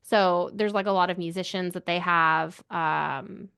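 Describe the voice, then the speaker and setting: A woman's voice: lowish voice, fairly even intonation, a little slow, creaky, fairly bright tone, smooth. A woman co-hosting a book podcast in casual conversation, speaking informally and offering her opinions freely. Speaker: book club podcast co-host. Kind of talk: casual book discussion chat.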